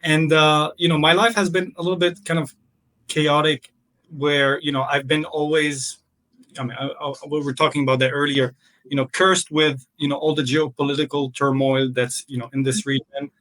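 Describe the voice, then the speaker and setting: A man's voice: a little high, flat delivery, moderate pace, fairly bright tone, poor audio. A young man from Lebanon, a podcast guest on a video call, speaking casually and hesitantly, with many fillers and restarts. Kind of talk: casual interview.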